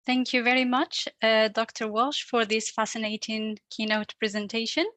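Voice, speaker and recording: Bright-voiced woman moderator, dry sound. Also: fairly high pitch, little pitch movement, moderate pace, dry recording. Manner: hesitant, frequent um and uh. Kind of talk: moderating a Q&A session.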